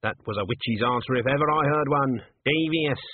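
Bloke reading as a stern old witch woman, blunt n probing, a bit husky, thin phone-like audio. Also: mid-range voice, normal speed, no room sound, lightly acted. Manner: blunt, probing, rustic grammar.

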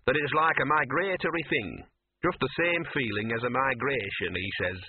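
A man's voice: mid-range voice, normal speed, breathy edge, no echo, pitched above the performer's own voice, lightly acted. A man voicing a scruffy, streetwise talking dog in an audiobook, chatty and confiding as he explains things. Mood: knowing. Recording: narrow band, radio-like lo-fi sound.

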